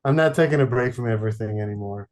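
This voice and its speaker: A man from Detroit, a podcast guest, chatting casually in a halting, rambling way with many fillers and deadpan jokes. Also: medium pitch. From Detroit, Michigan. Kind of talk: casual chat.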